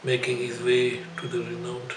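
An older man, a Buddhist teacher, reads a scripted life story aloud in a halting, phrase-by-phrase way. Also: medium pitch, completely flat delivery, background noise. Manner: halting, phrase-by-phrase delivery with frequent throat clearing. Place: indoor shrine room. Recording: roomy echo, music behind.

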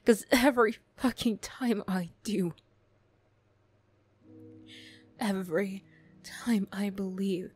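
A woman voicing a wounded, bitter young bully girl, defensive and vulnerable, in a halting ASMR roleplay style. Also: medium pitch, darkish tone, breathy edge. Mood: bitter, tearful. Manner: halting, swearing, emotional, slow delivery.

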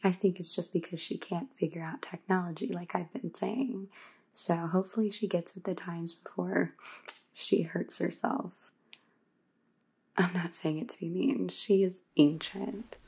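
A woman's voice: lowish voice, fairly even intonation, measured pace, darkish tone, exaggerated voice. A young woman playing a snide, modern vampire housemate in a comic ASMR roleplay, with a whispery, dismissive valley-girl drawl. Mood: gossipy, snide. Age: young adult. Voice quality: very breathy, whispery.

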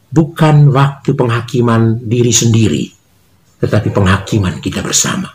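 Earnest middle-aged priest giving a reflection. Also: male voice, medium pitch, rough recording. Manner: measured, earnest, reads in steady phrases. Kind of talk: spoken reflection, sermon-like. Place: small indoor office with bookshelves.

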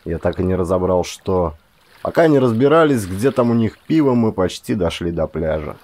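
A man reading a first-person story aloud as an audiobook narrator, measured and expressive. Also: low-pitched.

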